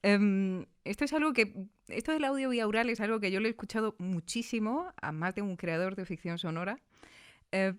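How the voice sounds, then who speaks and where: Female interviewer, Spain accent, mid-pitched voice, chatty and enthusiastic delivery, frequent tag questions. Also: brisk, smooth. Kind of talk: conversational interview.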